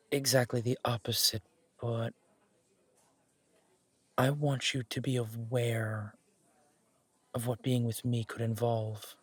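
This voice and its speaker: A man playing an earnest, protective, wry mafia boss on a first date in a romantic audio roleplay, speaking in a measured, intimate way. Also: mid-range voice, a bit bright, husky, no room sound. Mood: earnest, tender.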